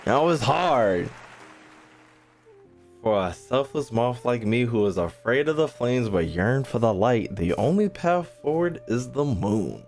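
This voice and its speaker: A young male gamer commenting on a game in a slow, drawling way, his pitch leaping from low mumbles to high squeals. His reactions are loud, slangy and full of laughter. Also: big pitch swings, soft background music. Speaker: gaming streamer. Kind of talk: casual let's-play commentary.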